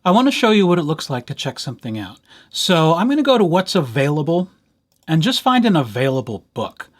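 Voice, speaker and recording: A man giving an informal software tutorial as a library instructor, talking conversationally with lightly playful asides. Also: fairly high pitch, very animated, fairly quick.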